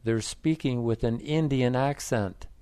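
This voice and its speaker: A man's voice: mid-range voice, measured pace, warm tone, clear, resonant tone, no room sound, close to an everyday voice. A man voicing a doting wife who teases her husband, read plainly. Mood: earnest.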